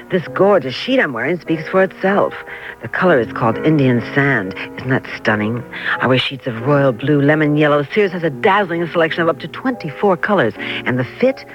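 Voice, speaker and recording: A vain, fashion-mad mattress voiced by a man in a comic radio ad. The voice is fairly high and swoops with haughty, affected gushing, over music. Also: close to an everyday voice. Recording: muffled radio broadcast, music behind.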